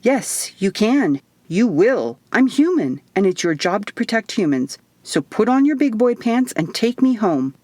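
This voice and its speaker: A woman's voice: medium pitch, big pitch swings, unhurried, hardly any pauses, mellow, dry recording. A woman voicing a sarcastic, skeptical young woman in an audiobook, blunt and exasperated. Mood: demanding.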